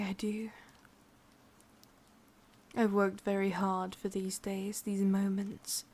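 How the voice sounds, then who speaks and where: A woman with an English accent voicing an ancient, lonely, lovesick witch in a breathy, husky whisper for an ASMR roleplay. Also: mid-range voice, a little mellow. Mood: brooding. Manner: slow, brooding, intimate delivery.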